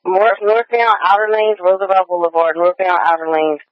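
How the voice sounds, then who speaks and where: A man's voice: high-pitched, little pitch movement, normal speed, no echo. A man working as a police radio dispatcher, relaying a live pursuit in clipped, repetitive procedural phrases over a radio channel. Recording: dull lo-fi police scanner radio.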